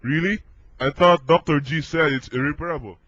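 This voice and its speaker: A man's voice: on the high side, moderate pace, a bit bright, no room sound. A young man playing a stern, sarcastic father in a student radio drama. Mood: doubtful. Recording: muffled amateur recording, music behind.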